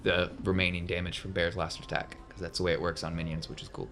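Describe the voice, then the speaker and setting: A man with a fairly low voice serves as game master at a tabletop game. He narrates action and rules casually. The recording has clear background noise from the room. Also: flat delivery, fast talker, fairly bright tone.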